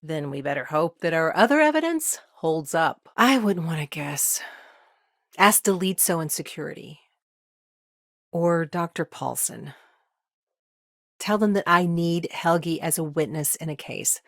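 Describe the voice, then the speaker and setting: An actress playing a brisk, dry-witted lawyer in a space colony video game, speaking in a composed, articulate and wry manner. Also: female voice, sits low, expressive, no room sound. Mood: businesslike, explanatory. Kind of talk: video game character dialogue.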